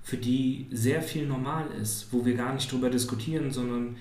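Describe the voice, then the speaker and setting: A man's voice: medium pitch, fairly even intonation, moderate pace, a little mellow. A man in his thirties chatting casually, telling rambling stories full of fillers. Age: 30s. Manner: rambling, filler-heavy storytelling. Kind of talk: casual chat. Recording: echoey room with faint ambience.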